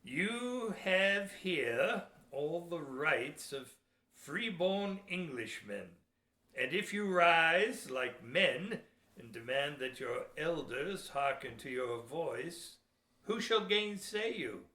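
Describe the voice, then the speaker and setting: Guy acting a crafty gruff mayflower-era sea captain, radio play style, forceful and slow, roomy echo. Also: fairly high pitch, lively intonation, exaggerated voice. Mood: rousing, sly. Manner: emphatic, declamatory, archaic speech. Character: cunning, gruff English ship's captain of the 1600s. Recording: roomy, echoey audio drama recording.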